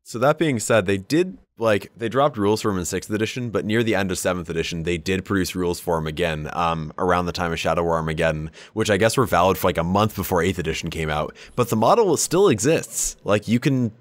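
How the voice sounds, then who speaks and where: A man's voice: deep voice, expressive, fast talker, few pauses, no room sound. A man co-hosting a podcast, chatting casually about tabletop game lore with wry asides. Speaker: podcast co-host.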